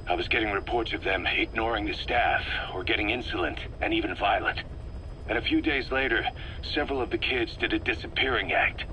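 An actor playing an earnest, embittered middle-aged military second-in-command in a video game, talking tersely. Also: male voice, fairly low pitch, sharp tone. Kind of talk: dramatic video game monologue. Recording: faintly noisy cassette tape recording.

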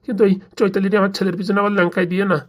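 A woman's voice: mid-range voice, little pitch movement, racing, lightly acted. A woman voicing a pleading, complaining older village uncle and henpecked husband in a comic cartoon.

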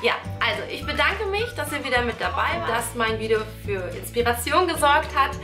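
A woman's voice: sits high, lively intonation, a little fast. A young woman in her twenties with a stuffy nose from a cold, chatting enthusiastically as a beauty vlogger. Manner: chatty, gushing, addresses viewers as girls. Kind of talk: casual product-recommendation vlog. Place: small indoor room. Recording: echoey room with music behind.

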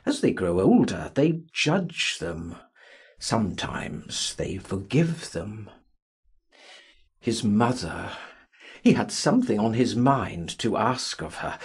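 Husky, theatrical male audiobook narrator. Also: on the low side. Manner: theatrical, expressive, measured reading. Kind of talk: dramatic literary audiobook narration.

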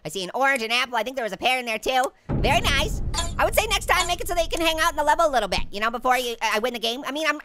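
A performer voicing a cocky, joking talking orange who comments on a game, goofy and full of yelps. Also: sits high. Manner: goofy, jokey, yelps and laughs. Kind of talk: cartoon character playing a game on camera.

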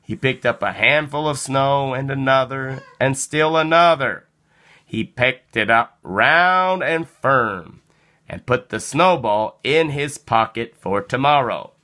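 A person reading a children's picture book aloud in an expressive, dramatic storytelling manner. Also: medium pitch. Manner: animated, slow, dramatic delivery with acted sound words. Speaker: storybook reader.

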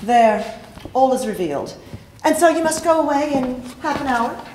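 A woman's voice: high voice, expressive, moderate pace, a little mellow. A woman playing a mysterious, flirtatious lady in a period stage play, coaxing and teasing with theatrical delivery. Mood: coy, challenging. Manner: coy, teasing, dramatic delivery. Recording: echoey stage hall, hissy background noise.

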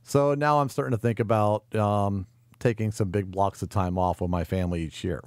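Male restaurateur guest, fairly low register, dry studio sound, casual, hesitant phrasing. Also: steady tone, moderate pace, a little mellow, dry recording. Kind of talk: casual conversational interview.

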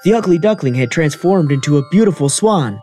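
A man's voice: fairly high pitch, lively intonation, a little fast, warm tone. A man reading scripted narration for a children's fairy tale. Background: music.

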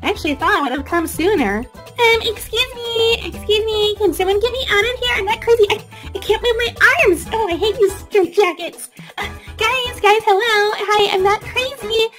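A woman's voice: very high voice, normal speed, a bit bright, faint music behind. A woman voicing a vain, cheerful young woman doll in a children's toy story, perky and chatty. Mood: flustered, pleading.

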